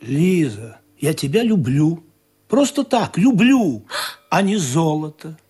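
A man's voice: a little high, big pitch swings, takes it slowly, warm tone, slightly rough, dry recording, exaggerated voice. An actor playing an ardent, ironic adventurer who tells his comic tale in a theatrical, confiding radio style. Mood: pleading, ardent. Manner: theatrical, confiding, lingers on words. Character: adventurer narrator, ardent, histrionic, ironic romantic hero. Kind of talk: dramatised comic storytelling for radio. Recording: studio radio play with music.

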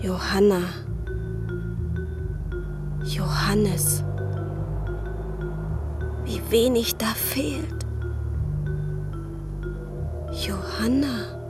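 An actress playing a desperate, devout young medieval woman in a German radio drama, halting and anguished in her delivery. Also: mid-range voice. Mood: fearful, anguished. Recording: music behind the voice.

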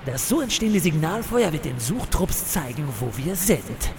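A man's voice: on the high side, big pitch swings, moderate pace, creaky, crowd noise. An actor playing a hapless male office worker as a pompous adventure hero, exclamatory and full of bravado, in a comic audio drama. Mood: blustering bravado.

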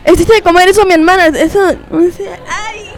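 A woman's voice: extremely high pitch, brisk. A woman playing a haughty, demanding princess who complains in an improvised comedy sketch. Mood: indignant. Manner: indignant, demanding. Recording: music and crowd noise behind.

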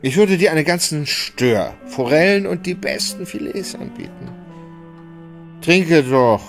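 An actor playing a gentle, melancholy and sluggish gentleman in a German radio play, with an emotional, wavering delivery. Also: a little high, animated, a little slow, faint music behind. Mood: tipsy, effusive. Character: gentle, sluggish, melancholy Russian gentleman, sentimental and easily moved.

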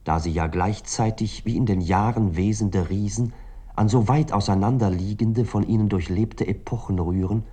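A reflective male writer in a literary radio play, his voice low and very mellow. He muses on his work in measured, formal phrases. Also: husky. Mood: contemplative. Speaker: actor reading the novelist-narrator's words. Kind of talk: literary radio drama monologue.

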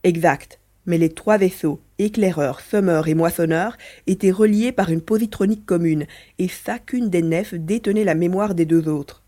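A woman's voice: on the low side, mellow, close to an everyday voice. A woman voicing a mischievous male mouse-beaver alien, a chatty, teasing adventurer in a French audio drama.

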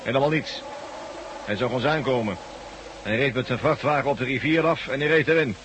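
A man's voice: medium pitch, brisk, close to an everyday voice. An actor playing a self-assured, devout henchman of a false god in a science-fiction radio drama. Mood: smug. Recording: noisy old radio recording.

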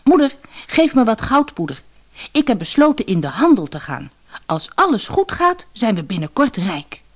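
A woman's voice: a little high, very animated, normal speed, breathy edge, voice pushed up. A woman voicing an eager, kind-hearted young boy in a storybook tale, earnest and direct. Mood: hopeful. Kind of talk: storybook narration character.

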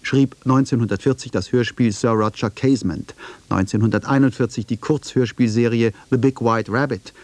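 A man narrates a scripted documentary feature. His voice sits at a medium pitch and is recorded very dry, with no room sound. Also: steady tone, moderate pace, a little mellow, dry recording.

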